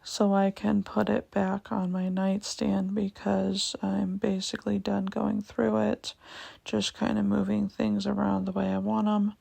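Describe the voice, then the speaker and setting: A young woman with a raspy voice giving a casual vlog voiceover, hesitant, with many ums, and repeating herself. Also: mid-range voice, level tone, measured pace, dark tone, dry recording. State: raspy voice, going in and out. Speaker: vlogger.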